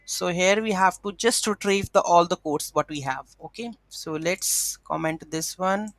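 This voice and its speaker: A woman's voice: on the low side, a little slow, dry recording. A woman hosting an informal coding tutorial, talking through each step aloud as she works. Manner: instructional, thinking aloud step by step. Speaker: tutorial host.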